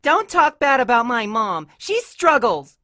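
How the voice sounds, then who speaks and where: An earnest little cartoon filly voiced by a woman, high-pitched and very animated. The delivery is childlike and plaintive, vulnerable, as in an acted story reading. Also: brisk, exaggerated voice. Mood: indignant. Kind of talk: dramatic fan-fiction character acting.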